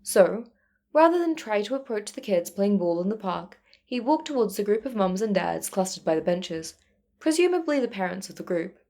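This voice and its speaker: A woman's voice: medium pitch, big pitch swings, moderate pace, warm tone. A woman reading fiction aloud as narrator in a scripted storytelling style. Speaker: narrator, fan fiction reader.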